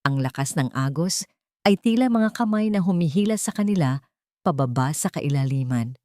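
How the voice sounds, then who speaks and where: A woman reads a melodramatic story in a fairly low voice. Her delivery is solemn and scripted, and the sound is dry and close, with little room around it. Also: animated, normal speed, dark tone, no room sound. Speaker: storyteller narrator. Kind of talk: dramatic scripted narration of a romance story.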